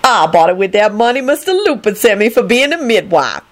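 A woman's voice: medium pitch, very animated, a little fast, voice pushed up, natural voice. A woman voicing a vain, brash woman in an audiobook, folksy and showy in her ungrammatical way of talking. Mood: excited, proud.

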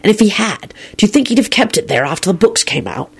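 Someone reading as a mild wry guy in a storybook, kinda apologetic and hurried, lively pitch. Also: gravelly, breathy edge, exaggerated voice. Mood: low, intent. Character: wry, apologetic grown man, Lupin, quick and evasive.

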